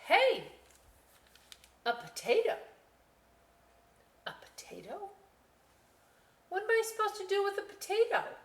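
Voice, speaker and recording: Lady reading as a little girl in a picture book, high pitched, dramatic n emphatic, roomy echo. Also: huge pitch swings, full character voice. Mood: incredulous. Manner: exaggerated, emphatic, drawn-out. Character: expressive young girl, bored, eager, exasperated. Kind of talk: children's picture-book read-aloud.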